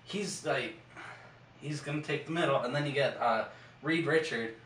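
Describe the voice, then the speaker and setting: A man with a bright, forward voice talks in a slangy, casual way as a podcast co-host. He is recorded in a roomy space, some distance from the microphone. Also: mid-range voice, moderate pace. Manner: slangy, profane. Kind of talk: casual chat. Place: echoing room. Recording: roomy, distant mic, faint noise.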